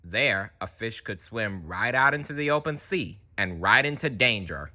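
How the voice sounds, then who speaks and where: A man reading a children's storybook aloud in an animated, chatty way, slipping in casual asides. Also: fairly low pitch, moderate pace. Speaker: storybook reader.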